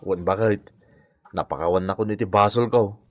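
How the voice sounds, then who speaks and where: An actor playing a whining, hapless gambling husband in a comedy radio drama, groaning and pleading. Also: male voice, lowish voice, moderate pace, no echo, lo-fi sound, soft background music, lightly acted. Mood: apologetic, meek.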